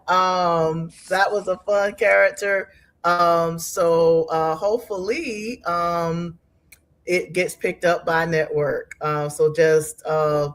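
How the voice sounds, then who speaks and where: Woman guest on an online call, talks really slow, lots of pauses, voice a bit low. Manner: measured, hesitant with drawn-out pauses. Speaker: actress, interview guest. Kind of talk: casual promotional chat. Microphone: online video call.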